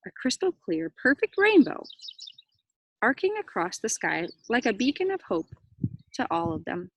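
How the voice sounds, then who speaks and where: A woman reading a children's story aloud, with expressive dramatic emphasis and brief explanatory asides. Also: fairly high pitch, moderate pace, poor audio. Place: outdoors near cows. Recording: faint background noise.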